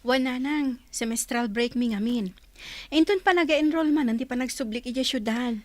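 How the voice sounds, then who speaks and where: A woman's voice: fairly high pitch, normal speed, no echo, natural voice. A woman playing a dutiful, conciliatory college-age daughter in a radio-style drama. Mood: polite.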